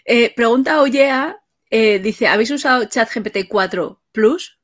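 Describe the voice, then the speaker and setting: A woman's voice: fairly high pitch, a little slow. A woman with an accent from Spain hosting an informal interview and putting questions to guests. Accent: Spanish from Spain. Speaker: interviewer, host.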